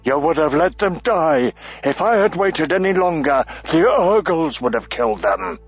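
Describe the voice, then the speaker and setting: A man voicing a stout, stubborn male dwarf warrior who speaks gruffly and defiantly. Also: medium pitch, expressive, unhurried. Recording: lo-fi audiobook with faint music.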